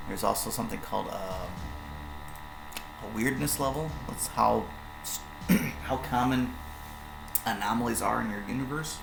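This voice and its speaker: Hoarse, low gravelly man explaining game rules. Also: echoey room, noisy background. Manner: rambling, many fillers and restarts, explains with quick examples. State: hoarse, voice going. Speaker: game designer explaining his own tabletop RPG. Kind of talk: casual walkthrough of game rules on a stream.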